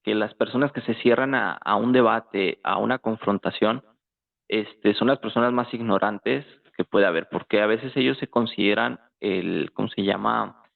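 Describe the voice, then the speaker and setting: A man's voice: lowish voice, moderate pace, vocal fry. A man with a Mexican accent in casual, conversational discussion, talking colloquially and checking in with tag questions. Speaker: guest in a political discussion. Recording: tinny phone-like, roomy echo.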